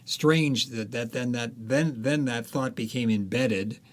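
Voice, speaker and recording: A man's voice: medium pitch, unhurried. Man, author guest, casual interview talk, hesitant, lots of restarts.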